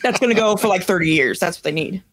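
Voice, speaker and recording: A woman's voice: on the low side, lively intonation, quick pace, fairly bright tone, slight background noise. A woman co-hosting a podcast, chatting casually in a hesitant, loosely worded way. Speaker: podcast co-host. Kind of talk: casual chat.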